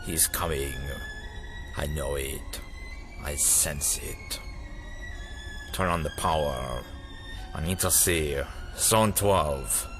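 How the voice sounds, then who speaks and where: A man's voice: very deep voice, slow, pauses often. A man playing an imperious, brooding villain, speaking in terse, ominous commands in an audio drama. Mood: ominous, commanding. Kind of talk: fan audio drama acting. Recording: music under the voice.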